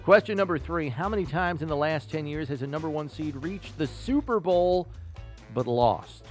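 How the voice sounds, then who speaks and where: A man with a fairly high-set voice hosts a sports talk show. He delivers questions and analysis with emphasis, like a quizmaster. Faint music plays under his voice. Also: lively intonation, moderate pace. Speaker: podcast host. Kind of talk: sports talk and trivia quiz.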